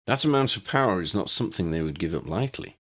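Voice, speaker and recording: A man's voice: sits low, lively intonation, fast, pushed and bright, full character voice. A man voicing an earnest young wizard hero in an audiobook story, direct, alert and concerned. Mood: resolute.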